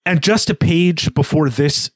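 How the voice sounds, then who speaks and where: A man's voice: fairly high pitch, a little slow, no echo, clean studio sound. A man co-hosting a podcast, explaining literature in an earnest, bookish and analytical way. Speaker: podcast co-host. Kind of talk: literary discussion, analytical commentary.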